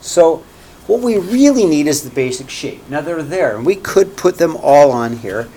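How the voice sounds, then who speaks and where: A man lecturing a classroom in a fairly high voice, bouncing between loud emphasis and quiet asides. He repeats each key point and keeps checking whether the students follow. Faint room noise sits under him. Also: normal speed. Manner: energetic, repeats key points, asks "okay?" and "right?" often. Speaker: math teacher.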